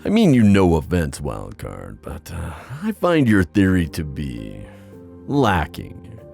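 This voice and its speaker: A man playing a wistful, theatrical sea god in an ASMR fantasy roleplay, musing with hesitant pauses and drawn-out phrasing. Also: low-pitched, wildly swooping pitch, vocal fry, darkish tone, faint music behind, full character voice. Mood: thoughtful, skeptical.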